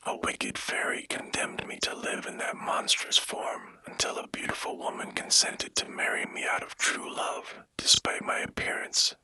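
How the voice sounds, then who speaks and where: A man voicing a loving young storybook prince in a soft, solemn, breathy whisper. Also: normal speed, hardly any pauses, rough recording. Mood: grateful, solemn.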